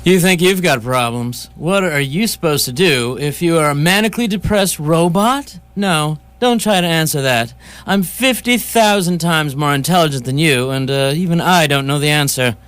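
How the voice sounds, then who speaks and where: A man's voice: a little high, animated, moderate pace, few pauses, a bit bright, clear, resonant tone, no echo. A man playing a depressed, self-pitying robot, morose and condescending, in live radio theatre. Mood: morose, disdainful.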